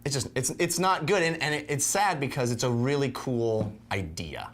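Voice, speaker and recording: A man's voice: mid-range voice, lively intonation, normal speed, a bit bright, a little hiss or hum. A man co-hosting a film review show in casual conversation, speaking emphatically and repeating phrases for effect.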